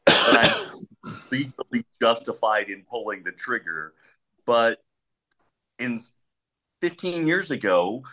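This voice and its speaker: A man's voice: mid-range voice, bright, forceful tone, poor audio. A man with a big voice, a police trainer speaking bluntly on a panel and telling stories from the job. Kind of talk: panel discussion.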